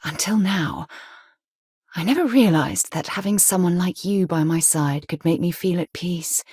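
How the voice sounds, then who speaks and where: An actress playing a resolute, principled woman space explorer in a video game, with a husky voice and an earnest, articulate way of speaking. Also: fairly low pitch, a little slow, darkish tone, dry recording, studio quality. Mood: warm, sincere. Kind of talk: video game character acting.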